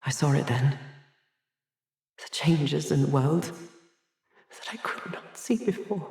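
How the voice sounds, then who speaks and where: A man's voice: a little high, slow pace, gravelly, a little mellow, reverberant. A man playing a haughty, haunted asylum doctor in a video game, theatrical and erudite, fretful and hesitant, obsessed with his art. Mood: haunted, brooding. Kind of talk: dramatic video game dialogue.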